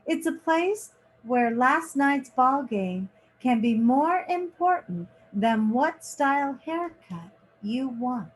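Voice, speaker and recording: A woman reading a children's picture book aloud in short, deliberate phrases, like a teacher. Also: high-pitched, animated, rough recording.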